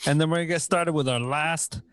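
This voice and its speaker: A man's voice: a little high, steady tone, a little fast, fairly bright tone. A man chatting casually as host and performer at a radio play show. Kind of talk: casual chat.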